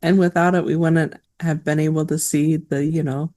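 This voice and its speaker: A player in a tabletop game, speaking in a soft, tentative way. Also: mid-range voice, level tone, moderate pace. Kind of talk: casual chat between players.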